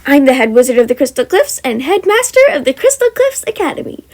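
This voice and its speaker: A bubbly young wizard girl with antlers and elf ears, pitched high and talking with quick eagerness. She sounds friendly and a little proud of her magic. A woman reader puts her on in an amateur audio story. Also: sing-song. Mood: cheerful. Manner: bright, chatty and eager.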